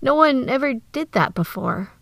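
A man voicing a young noble girl who speaks flatly and plainly, in an audiobook. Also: extremely high pitch, normal speed, gravelly, no echo, voice pushed up, heavily acted. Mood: earnest. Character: blunt, nervous teenage noble girl. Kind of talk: audiobook character voice.

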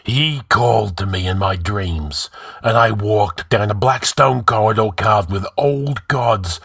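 A man performs a wrathful barbarian warrior king from a fantasy tale. The voice sits low and growls. He speaks bluntly and imperiously, with gruff grunting force. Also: a little slow, fairly bright tone, dry recording, clean studio sound, exaggerated voice. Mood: thundering.

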